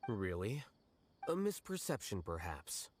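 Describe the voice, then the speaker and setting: An actor playing an aloof young scholar-official in a video game, speaking in a measured, articulate deadpan. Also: male voice, fairly low pitch, slight background noise. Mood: cool, unimpressed. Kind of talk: scripted video game dialogue. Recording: game music behind. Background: game music.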